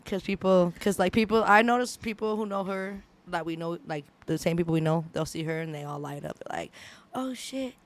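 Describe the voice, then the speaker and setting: A woman's voice: fairly low pitch, fairly quick, few pauses. A woman co-hosting a podcast, giggly and playful in casual banter. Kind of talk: casual chat.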